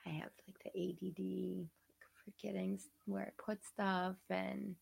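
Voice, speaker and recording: A woman's voice: mid-range voice, little pitch movement, normal speed, vocal fry, darkish tone, slightly rough. A woman in her thirties hosting a casual livecast while sick and losing her voice, rambling and laughing, sometimes dropping to a whisper. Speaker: livecast host. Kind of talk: casual chat.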